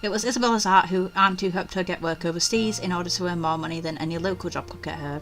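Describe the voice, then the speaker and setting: Young woman, bright voice, let's play host, casual commentary, reading game text aloud, faint background music. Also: lowish voice.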